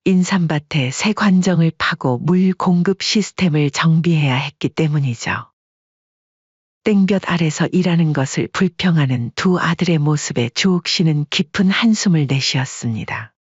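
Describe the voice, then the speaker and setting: A woman narrating a scripted audio story in a calm, measured way. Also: sits low, slightly rough, no echo, lo-fi sound.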